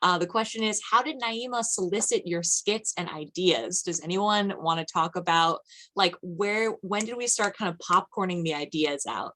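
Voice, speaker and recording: A woman's voice: on the low side, moderate pace, fairly bright tone. A woman moderating audience questions in an informal group talk on a video call.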